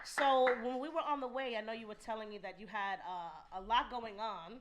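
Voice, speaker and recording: A woman hosting a casual podcast, loud and talkative, laughing easily. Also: on the high side, normal speed, crowd noise. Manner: says she has a loud voice and sits back from the mic. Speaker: podcast host.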